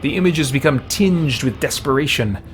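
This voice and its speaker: A man live-streaming a game, reading its text aloud and chatting casually as he thinks out loud. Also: on the high side, moderate pace, soft background music. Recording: steady background noise.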